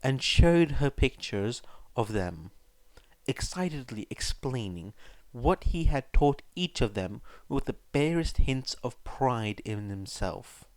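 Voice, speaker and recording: A man's voice: medium pitch, lively intonation, takes it slowly, no room sound. A man reading scripted fiction aloud as an audiobook narrator, on a close microphone.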